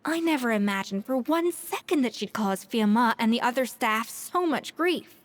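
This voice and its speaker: A woman's voice: on the high side, animated, normal speed, lightly acted. A woman playing a proud, self-assured young master chef in an anime game, composed and earnest, with articulate, formal phrasing. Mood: troubled, reflective.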